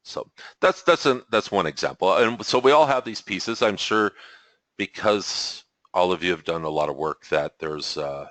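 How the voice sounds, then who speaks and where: A man with a deep voice leading a spiritual webinar over a video call, talking casually, rambling and correcting himself and chuckling often. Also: normal speed, a bit bright, no room sound. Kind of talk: casual teaching talk.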